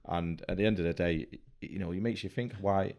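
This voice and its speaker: A man's voice: low-pitched, fairly even intonation, fairly quick, gravelly, darkish tone. A man co-hosting a casual podcast, mostly agreeing in short replies with the occasional longer point. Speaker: podcast co-host. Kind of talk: casual chat.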